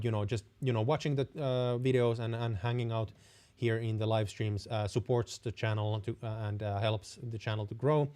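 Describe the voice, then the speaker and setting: A man's voice: on the low side, darkish tone. A young Finnish man, speaking English with a Finnish accent, hosts a live stream Q&A in a friendly, hesitant way, often stopping and restarting his sentences. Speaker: host.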